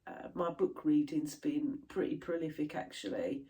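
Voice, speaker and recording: A middle-aged British woman chatting casually to camera about her crafts, sounding stuffy and a little croaky from a chest infection. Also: deep voice, animated, moderate pace, mellow. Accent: British English. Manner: chatty, rambling, laughs easily. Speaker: cross stitch vlogger.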